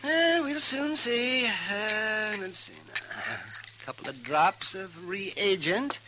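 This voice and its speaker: An actor playing a crusty, teasing frontier doctor who mutters and chuckles, in a 1950s radio western. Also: male voice, sits high, animated, takes it slowly. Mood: muttering, absorbed. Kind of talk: 1950s radio drama acting. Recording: vintage 1950s radio recording, faint noise.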